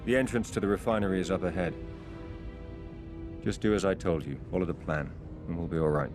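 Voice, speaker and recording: An actor playing a cool, wry British secret agent in a spy game, clipped and understated. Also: male voice, deep voice, a little fast, over music, lightly acted. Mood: businesslike. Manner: understated, dry, businesslike. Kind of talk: cinematic spy game acting.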